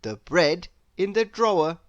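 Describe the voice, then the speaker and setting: A woman reading a children's story aloud in an expressive, deliberate, theatrical way. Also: lowish voice, dry recording, highs cut off. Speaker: storybook reader.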